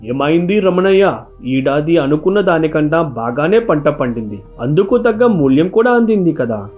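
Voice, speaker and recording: A practical village merchant acted by a man advises with assurance in a cartoon tale. The male voice runs rather high, with faint music in the background. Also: big pitch swings, normal speed, narrow, tinny audio. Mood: curious.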